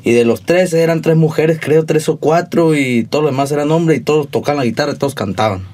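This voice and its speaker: A man's voice: a little high, brisk, a little mellow. Laid-back Sonoran young man. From Sonora, Mexico. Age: 20s. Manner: laid-back, slangy, rambling. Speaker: musician guest. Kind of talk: casual interview storytelling.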